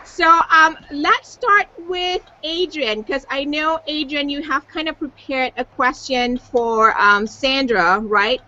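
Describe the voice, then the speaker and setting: A woman hosting an online hangout with a lively, cheering manner and a chatty, informal way of talking. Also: high voice. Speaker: host. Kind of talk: casual online chat. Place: home setup on a video call. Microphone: computer microphone on a video call. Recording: dull lo-fi video-call audio.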